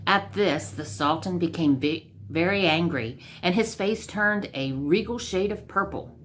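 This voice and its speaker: A woman's voice: on the low side, a little slow, a bit bright, slight background noise. A woman storyteller reading a scripted comic tale aloud.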